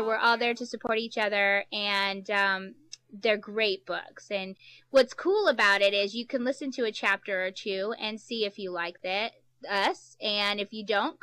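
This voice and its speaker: A woman author moderating an informal panel for an audience, chatty and quick to laugh. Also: medium pitch, pushed and bright.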